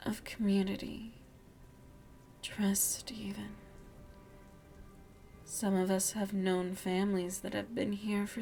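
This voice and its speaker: A woman playing a seductive, aristocratic vampire lady in an ASMR roleplay, speaking intimately and formally with a breathy, often whispering voice and teasing, veiled menace. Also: mid-range voice, a little mellow. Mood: gracious, intimate.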